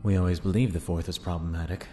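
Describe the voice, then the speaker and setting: A man voicing a secretive infiltrator soldier reporting to his commander, in a low, measured voice. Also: steady tone, mellow, faint music behind, close to an everyday voice. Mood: guarded. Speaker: voice actor in fan audio drama.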